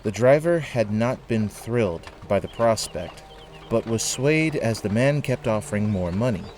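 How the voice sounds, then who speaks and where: A man narrating a scripted audio drama in a measured storytelling manner. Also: on the low side, lively intonation, a little mellow, slight background noise. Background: music.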